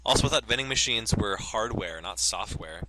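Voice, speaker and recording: A man's voice: lowish voice, lively intonation, moderate pace, sharp tone, a little hiss or hum, rough recording. A man hosting a let's play, reading game text aloud and cracking crude jokes between lines. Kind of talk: casual gameplay commentary and reading aloud.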